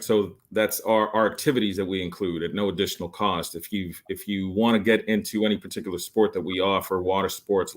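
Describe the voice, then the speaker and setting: A man in his late forties, a resort sales guest on a podcast, giving an enthusiastic pitch full of fillers and restarts. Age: 40s. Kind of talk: podcast interview.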